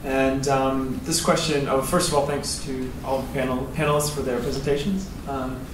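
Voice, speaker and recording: A man's voice: medium pitch, level tone, moderate pace. A man in the audience, a PhD student, asks a rambling, hesitant question through a roving microphone. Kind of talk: asking a question at a seminar. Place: lecture theatre. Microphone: roving handheld microphone. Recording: roomy hall, distant, noisy.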